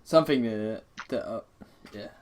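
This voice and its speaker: A man chatting casually and jokingly in a halting way as host of a gaming video. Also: on the low side. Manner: halting, often interrupting. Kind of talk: casual joking chat over a game recording. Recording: noisy home mic, keyboard clicks. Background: keyboard typing and clicking, background noise.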